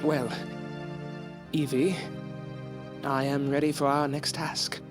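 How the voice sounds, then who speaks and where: An actor playing an earnest, devoted young medical assistant, speaking formally and reverently in a Gothic audio drama. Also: male voice, on the high side, little pitch movement, takes it slowly, music in the background. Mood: humbled, eager. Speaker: actor narrating and playing a character.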